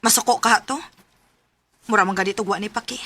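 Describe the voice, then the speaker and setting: A woman's voice: mid-range voice, fairly even intonation, fairly quick, very noisy, natural voice. An actress with a Cebuano accent playing a lonely young woman confiding in a close friend in a radio drama. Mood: sulky, needy. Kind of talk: Philippine radio drama acting.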